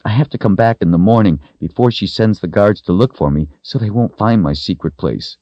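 A man's voice: on the low side, a little fast, dry recording, highs cut off, voice pushed up, natural voice. A man voicing a timid, earnest, plucky little servant girl in a storybook, with simple childlike phrasing. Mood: earnest, confiding.

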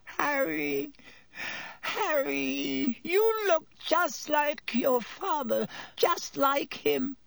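A man voicing a terrified, cowardly middle-aged man in an audiobook, with a thin squeaky voice and gasping, whining, pleading delivery. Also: big pitch swings, extremely slow, few pauses, a bit bright, dry recording, full character voice. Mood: wheedling. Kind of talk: audiobook character voice.

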